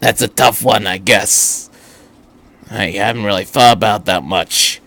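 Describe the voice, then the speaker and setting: A man's voice: on the low side, vocal fry, pushed and bright, dry recording, voice pushed down, full character voice. Man reading as weary older working man. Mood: weary, reflective. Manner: plain-spoken, reflective, halting. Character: gruff, weary older widowed Japanese factory worker.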